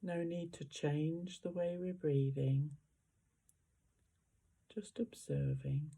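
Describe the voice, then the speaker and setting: A meditation guide giving gentle, unhurried guided instructions with long pauses between phrases. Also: medium pitch, fairly even intonation, gravelly, slightly rough.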